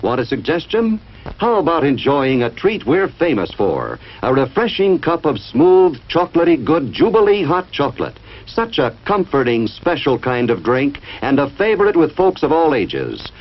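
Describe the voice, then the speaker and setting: A man's voice: fairly high pitch, sing-song, normal speed. A man reading a vintage drive-in snack bar ad as a commercial announcer, in a folksy selling manner. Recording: dull old film soundtrack.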